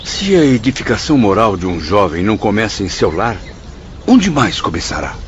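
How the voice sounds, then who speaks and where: A man's voice: lowish voice, very animated, moderate pace, slight background noise. A man acting an earnest, moralizing spirit in a radio drama, formal and rhetorical. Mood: grave. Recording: dull lo-fi audio, faint music.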